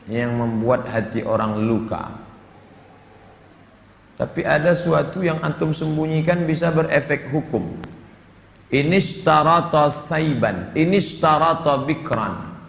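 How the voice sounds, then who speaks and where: Gravelly male preacher, echoing hall. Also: medium pitch, fairly bright tone. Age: 40s. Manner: humorous, conversational, with dramatic asides and Arabic quotations. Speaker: Islamic preacher. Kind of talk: sermon answering audience questions, with jokes and acted-out dialogues. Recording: echoey hall with long reverb, background noise.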